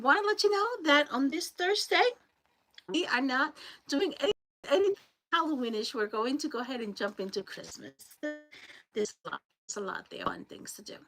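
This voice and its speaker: A woman's voice: high voice, big pitch swings, normal speed, low-quality recording. A woman from Colombia with a Colombian Spanish accent, hosting a live crafting stream and chatting with viewers as they join. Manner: chatty, greets viewers by name, warm thanks. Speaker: crafting livestream host.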